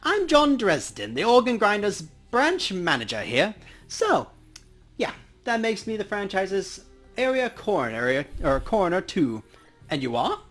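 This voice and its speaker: A man's voice: high-pitched, sing-song, a little slow, fairly bright tone, full character voice. A man voicing a kindly, ghoulishly cheerful dwarf coroner with a wry, conversational manner for a game playthrough. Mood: brisk, affable. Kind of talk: character reading in a let's play. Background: game music.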